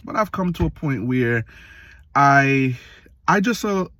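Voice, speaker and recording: A man in his late thirties, from Jamaica, giving a reflective casual monologue for his video channel, slow and full of pauses and fillers. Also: mid-range voice, animated, fairly bright tone, no echo. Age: 30s. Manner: slow, pausing often with fillers. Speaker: vlogger.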